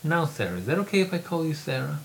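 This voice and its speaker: A man's voice: a little high, sing-song, creaky, slight background noise, voice pushed up. A man reading a stern, coaxing male professor. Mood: firm coaxing. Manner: measured, firm, coaxing. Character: stern, calm male professor questioning a student.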